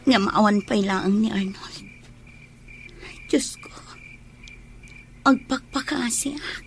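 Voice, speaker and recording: A woman's voice: medium pitch, takes it slowly, slight background noise. A woman with a northern Philippine accent acting an anxious, principled wife in a radio drama. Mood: fearful, pleading. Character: anxious, devout, principled wife of a criminal husband.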